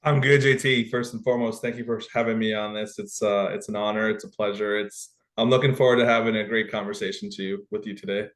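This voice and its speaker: A man from Guatemala, a football coach, talking in an interview in a humble, conversational way with many pauses and restarts. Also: lowish voice, fairly even intonation, fairly bright tone. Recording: roomy echo.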